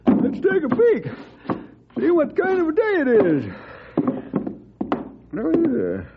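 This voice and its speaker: An actor playing an excitable, folksy old cowboy with a drawl in an old time radio drama. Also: male voice, extremely high pitch, takes it slowly, vocal fry, phone-quality sound, very noisy, exaggerated voice. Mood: startled, groggy.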